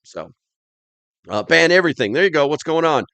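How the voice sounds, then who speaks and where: A man's voice: fairly high pitch, lively intonation, a little fast, sharp tone, clean tone, dry recording. A man hosting a live stream, chatting casually as he reads and answers comments in a rambling, plain-spoken, slightly grumbly way.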